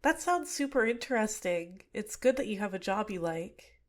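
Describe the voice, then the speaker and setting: A young woman playing a scheming, manipulative villainess in an ASMR roleplay, speaking softly and close to the mic. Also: medium pitch, expressive, normal speed, natural voice. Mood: shy, apologetic.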